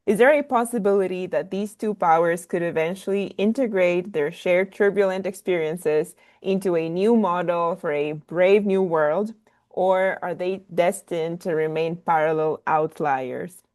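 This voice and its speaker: Lower-voiced woman moderating a webinar. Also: measured pace, a little mellow. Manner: upbeat, polite, reads questions aloud. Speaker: moderator reading audience questions. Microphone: video call.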